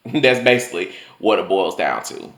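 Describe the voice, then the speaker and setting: A man's voice: sing-song, moderate pace, creaky, fairly bright tone. Chatty young man, swinging voice, echoey room. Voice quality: voice swings higher and lower. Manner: chatty, loose, full of "like" and swearing. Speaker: vlogger telling personal stories. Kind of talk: casual storytelling monologue.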